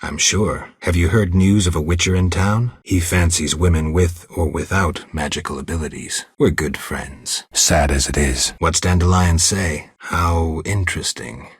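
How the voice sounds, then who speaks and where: A gruff, stoic monster hunter for a video game. The voice sits extremely deep and is heavily rasped and husky. Lines come out dry, blunt and deadpan, in a close, dry recording. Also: male voice, unhurried, few pauses, no room sound, exaggerated voice. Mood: muted, dry. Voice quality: low, gravelly, husky. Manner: deadpan, terse, restrained. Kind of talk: video game dialogue acting.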